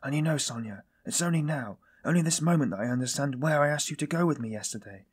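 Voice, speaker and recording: A man playing a weary, self-loathing man in audiobook dialogue, confessing in a brooding, bitter, halting manner. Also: on the high side, lively intonation, breathy edge, voice pushed up. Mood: pleading.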